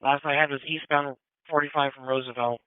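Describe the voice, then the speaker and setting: A man's voice: mid-range voice, steady tone, a little fast, very noisy. A man working as a police dispatcher over two-way radio, tersely repeating reports back in clipped procedural phrases. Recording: narrow-band police scanner radio audio.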